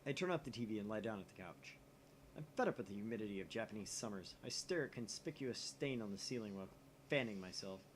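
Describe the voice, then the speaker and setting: Man reading expressively, lively pitch swings. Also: fast, a little hiss or hum. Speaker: gaming channel host. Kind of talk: expressive visual novel read-aloud.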